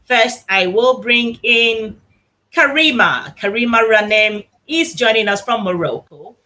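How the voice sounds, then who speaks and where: A bright, clear-toned woman hosts an online talk show. She sounds upbeat and eager, welcoming viewers and guests with open enthusiasm. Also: fairly high pitch, measured pace.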